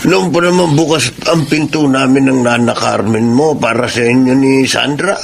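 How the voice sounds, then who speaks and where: An actor playing a kindly, welcoming older man with a gravelly voice and Filipino accent in a radio drama. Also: mid-range voice, moderate pace, lightly acted. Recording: very noisy ambience behind voice.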